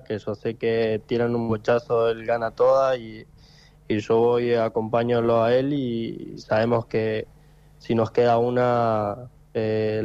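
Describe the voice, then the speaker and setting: A man in his twenties with an Argentine accent, a footballer answering radio interview questions haltingly, with many fillers and repeated words. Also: medium pitch, darkish tone, clear, resonant tone, lo-fi sound. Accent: Argentine Spanish. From Tucumán, Argentina. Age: 20s. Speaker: footballer guest.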